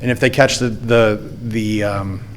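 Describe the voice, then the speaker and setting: Loud-voiced man testifying at a hearing. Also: on the low side, fairly even intonation, a little slow, slight background noise, low-quality recording. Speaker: golf course manager. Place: council chamber. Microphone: podium microphone.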